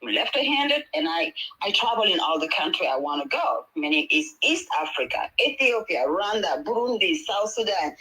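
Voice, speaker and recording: A woman's voice: mid-range voice, sing-song, normal speed, few pauses, sharp tone, rough recording. A woman from Senegal with a Senegalese accent, a native Wolof speaker, speaking earnestly on a panel, rambling with fillers and restarts over a video call. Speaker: panel speaker, midwife and healer. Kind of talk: conversational talk on a panel.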